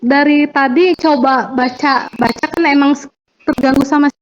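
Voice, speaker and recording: A woman's voice: high voice, steady tone, a little slow, dull audio. A woman student with an Indonesian accent asking a question in a hesitant, unsure way.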